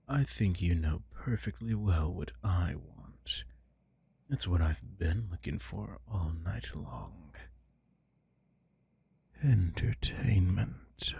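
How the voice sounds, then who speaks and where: Vampire lord, gravelly, unhurried, menacing and seductive, ASMR roleplay. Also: male voice, darkish tone, no echo, heavily acted. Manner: slow, low, menacing seductive murmur. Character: arrogant aristocratic male vampire lord.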